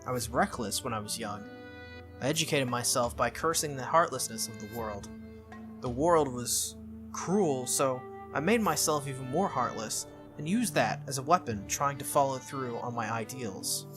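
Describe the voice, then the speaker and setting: A man reading a visual novel's narration aloud in an earnest, steady way for a playthrough. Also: mid-range voice, normal speed. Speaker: let's-play host. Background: faint game music.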